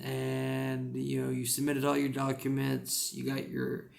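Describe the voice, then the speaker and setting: A man's voice: mid-range voice, completely flat delivery, unhurried, creaky, a little hiss or hum. A man with a rural American accent giving casual, rambling advice as an English teacher, with lots of "you know" fillers.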